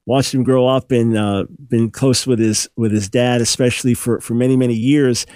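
A man's voice: medium pitch, fairly even intonation, darkish tone, no room sound. Male talk radio host, studio, dry close sound, friendly interviewer tone. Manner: friendly, quick follow-up questions.

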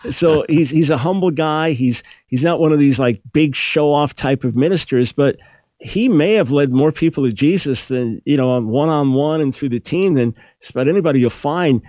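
A man's voice: on the high side, darkish tone, no echo. Male talk radio host, studio, dry close sound, friendly interviewer tone. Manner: friendly, quick follow-up questions.

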